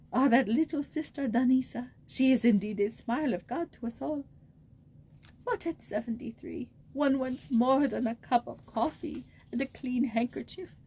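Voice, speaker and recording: A woman's voice: high voice, mellow, breathy edge, pitched above the performer's own voice, full character voice. A woman voicing a sorrowful old pauper woman in her seventies for a storybook, trembling and plaintive. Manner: plaintive, lamenting. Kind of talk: storybook character voice.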